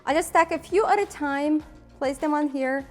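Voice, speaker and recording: A woman's voice: high-pitched, normal speed, soft background music. A woman in her thirties from Ukraine with a Ukrainian accent, chattily hosting a cooking demonstration and laughing at her own slips. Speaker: cooking show host.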